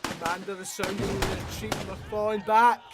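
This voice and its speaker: A man's voice: high voice, little pitch movement, a little fast, loud music behind the voice, natural voice. A man playing an urgent, loyal British soldier comrade in a student radio war drama. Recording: very noisy battlefield, gunfire and artillery effects.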